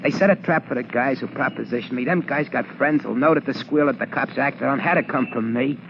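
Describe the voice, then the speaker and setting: On a dull, slightly noisy 1940s radio broadcast, an actor plays a fearful male ex-convict turned shopkeeper. His voice is gravelly and he talks in hesitant, slangy, unschooled tough-guy phrases. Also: medium pitch, brisk, lightly acted. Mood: pleading. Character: worried, fearful male ex-convict safecracker turned candy-store keeper. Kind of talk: 1940s radio drama acting.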